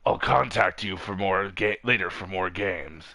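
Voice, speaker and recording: A man's voice: low-pitched, a little slow, creaky, a crowd in the background, voice pushed down. A man voicing a sly alien crime boss in a game, with a syrupy, showy manner that can turn blunt.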